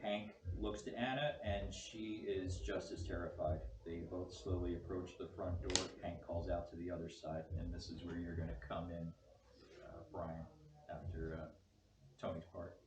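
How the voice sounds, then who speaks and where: A man's voice: low voice, flat delivery, normal speed. A man reading stage directions as scripted narration at a table read. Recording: echoey room, distant mic, clear noise.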